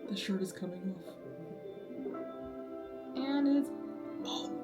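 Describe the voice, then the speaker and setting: A woman giving casual live reaction commentary to a series, informal and halting, excitable, often repeating herself. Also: mid-range voice. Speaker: reaction video host. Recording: music from the watched show behind.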